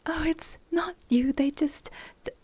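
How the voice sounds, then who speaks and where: A woman's voice: high voice, a little slow, a little mellow, no room sound, voice pushed up. A woman voicing a nervous, fretful woman baker who stammers and worries.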